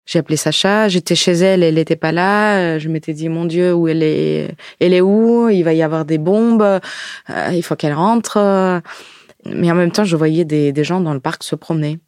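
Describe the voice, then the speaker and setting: A woman's voice: low voice, a little mellow, no echo. Woman telling her own story, hesitant, searching for words, very dry recording. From Ukraine. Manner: halting, searching for words, reflective. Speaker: podcast guest, diarist. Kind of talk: personal testimony in conversation.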